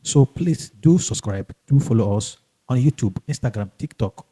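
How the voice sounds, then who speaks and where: A man hosting a podcast in casual, halting and emphatic speech, often repeating himself. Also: mid-range voice, lively intonation, mellow, slightly rough. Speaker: podcast host. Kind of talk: casual podcast introduction and interview chat.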